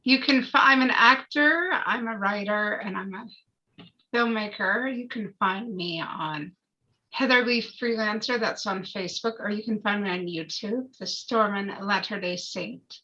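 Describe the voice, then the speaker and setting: A woman introduces herself casually in a bright, fairly high voice. She goes very slowly, and the recording is a bit muffled. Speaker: actor, writer and filmmaker. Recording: dull, muffled video call audio.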